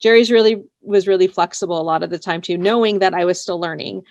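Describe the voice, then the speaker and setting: A woman with a fairly low, gravelly-edged voice talks as a writer in a casual interview. She speaks informally and loosely, circling around her thoughts. The sound is dry, with very little room in it. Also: fast, a little mellow, no room sound, lo-fi sound. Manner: informal and rambling, often says "like" and "you know". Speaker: interviewed scriptwriter.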